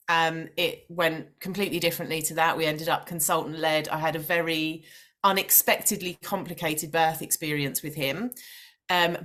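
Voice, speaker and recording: Lower-voiced woman telling her own story. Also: a bit bright. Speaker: podcast guest, maternal mental health coach. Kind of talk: personal storytelling in casual interview.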